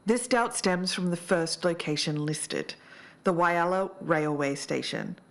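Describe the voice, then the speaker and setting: Low-pitched woman hosting a podcast. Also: unhurried, gravelly. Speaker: podcast co-host. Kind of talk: scripted investigative podcast narration with casual banter.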